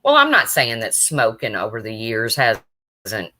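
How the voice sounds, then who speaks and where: A woman with a low, raspy voice talks on her own live stream. Her tone is bright and forward-placed despite the rasp. She keeps it chatty and loose, answering viewers' comments one by one. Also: huge pitch swings, a little slow. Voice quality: deep raspy voice. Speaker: true crime livestreamer. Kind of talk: casual live stream chat.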